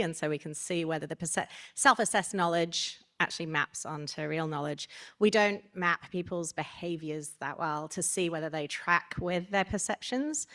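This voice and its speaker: A woman with a low-pitched voice. She speaks spontaneously, like a researcher chairing a conference panel and explaining findings to the room. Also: a bit bright. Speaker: survey researcher chairing audience questions.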